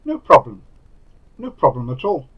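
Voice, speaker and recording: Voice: medium pitch, huge pitch swings, a little slow, breathy edge. A narrator reading a scripted language lesson, giving each prompt phrase on its own like an announcer. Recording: dull lo-fi recording.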